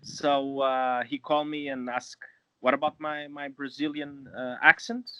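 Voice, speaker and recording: A man's voice: medium pitch, little pitch movement, a bit bright, smooth, no room sound. A young man with a Portuguese accent talks over a video call, searching for words with plenty of fillers and restarts. The sound is dry and a bit dull, like webcam audio in a small room. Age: 20s. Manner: halting, many fillers and restarts. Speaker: guest actor. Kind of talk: casual interview. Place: small room at home, indoors.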